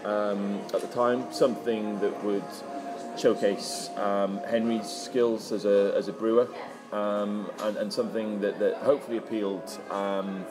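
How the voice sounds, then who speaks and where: A man being interviewed, answering informally and haltingly. His voice is on the low side, and music plays clearly behind him. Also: flat delivery, poor audio. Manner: hesitant, halting. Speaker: interview guest, brewery founder.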